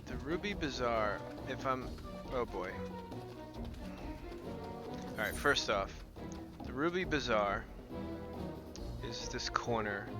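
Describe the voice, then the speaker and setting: A man's voice: medium pitch, expressive, takes it slowly, pauses often. A man giving casual live commentary as a game streamer. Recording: game music behind voice. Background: game music.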